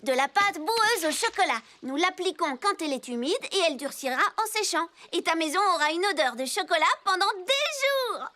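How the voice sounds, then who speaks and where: A woman's voice: very high-pitched, very animated, brisk, hardly any pauses, pushed and bright, exaggerated voice. A woman voicing a confident, perky young baker girl in a French cartoon dub. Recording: faint music behind the voice.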